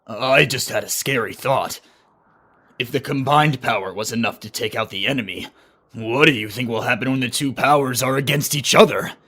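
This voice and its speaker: A man playing an earnest, alarmed young hero in an animated fantasy, speaking with dramatic urgency. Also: medium pitch, moderate pace. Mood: worried.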